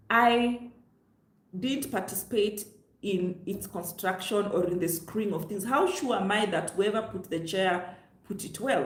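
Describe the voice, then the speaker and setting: A woman's voice: medium pitch, echoey room. A woman with a Kenyan accent giving direct, emphatic advice to her viewers, talking from a seat in a room of her home. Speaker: travel vlogger. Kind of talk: direct-to-camera advice vlog. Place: room on the upper floor of her home, seated.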